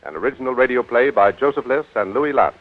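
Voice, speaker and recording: A man's voice: medium pitch, quick pace. A man announcing a radio play in a scripted 1940s broadcast style. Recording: narrow, tinny 1940 radio recording. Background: faint music.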